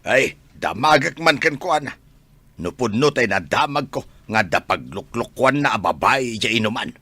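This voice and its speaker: A man acting an irritable, excitable village gossip in a radio drama, grumbling in a rough, raspy voice. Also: medium pitch, moderate pace, no echo, full character voice.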